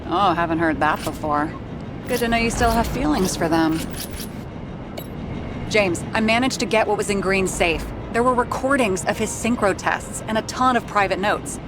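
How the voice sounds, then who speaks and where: An actress playing a blunt, troubled woman investigator in a dystopian noir video game, direct, questioning and sharp-tongued. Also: mid-range voice, moderate pace, background noise, close to an everyday voice. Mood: serious, intrigued. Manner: direct, questioning, foul-mouthed. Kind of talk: cinematic video game dialogue.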